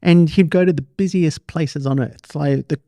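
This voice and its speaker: A man's voice: a little high, moderate pace, mellow, dry recording. A man hosting an interview show, chatting casually and telling anecdotes as he talks with his guest. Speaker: podcast host interviewing a guest.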